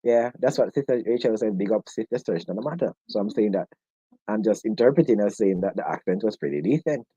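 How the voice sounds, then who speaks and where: A man's voice: on the low side, lively intonation, a little fast, dark tone, breathy edge, no echo. A man with a Jamaican accent hosting a casual live discussion, joking and laughing often with the viewers. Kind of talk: casual live-stream chat. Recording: dull lo-fi sound.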